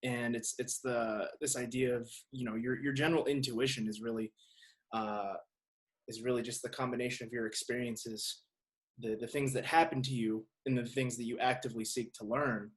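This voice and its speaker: A man's voice: mid-range voice, flat delivery, moderate pace. Thoughtful male podcast host. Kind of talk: casual reflective interview talk.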